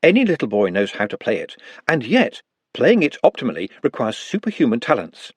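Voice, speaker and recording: A man voicing a timid, posh and very articulate small man in an audiobook, formal and precise, with a husky voice. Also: medium pitch, wildly swooping pitch, brisk, dry recording. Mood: lecturing.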